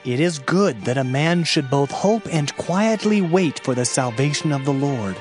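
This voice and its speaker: A man narrating scripture in a solemn, measured, dramatized reading. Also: a little high, animated. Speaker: Bible narrator. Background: background music.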